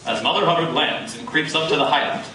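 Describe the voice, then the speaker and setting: High-pitched, grandiose old-time radio announcer man. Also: fast, bright, forceful tone, a little hiss or hum. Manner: grandiose, dramatic. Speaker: announcer and narrator. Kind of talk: theatrical 1940s radio announcing, scripted narration. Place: live performance in a coffee house. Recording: echoey room from far off, music.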